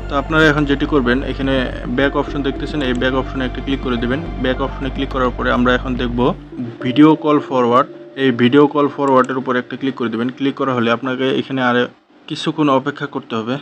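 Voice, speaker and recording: Fast-talking Bangladeshi man giving a tutorial. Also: mid-range voice, little pitch movement. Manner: instructional, repetitive step-by-step phrasing. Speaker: tech tutorial host. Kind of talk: step-by-step screen tutorial narration. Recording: dull lo-fi voice-over with music behind. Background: clear background music.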